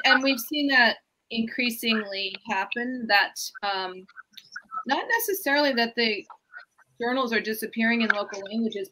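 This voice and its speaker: A woman academic answers over a video call in a bright, crisp voice, explaining her research fluently and thinking aloud as she goes. A faint hiss runs under the line. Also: medium pitch, poor audio. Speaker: author being interviewed.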